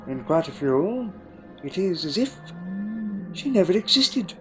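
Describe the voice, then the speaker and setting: A man playing a wistful, eccentric time-travelling alien in an audio drama, with a halting, reflective way of talking. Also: sits high, big pitch swings. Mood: wistful, musing. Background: faint music.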